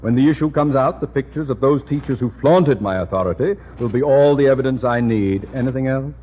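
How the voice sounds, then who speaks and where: An actor playing a pompous, tyrannical middle-aged high school principal in radio comedy, speaking in ornate, formal phrases. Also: male voice, brisk, slightly rough, natural voice. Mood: menacing, suspicious. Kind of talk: 1950s radio comedy acting. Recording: muffled, noisy old radio recording.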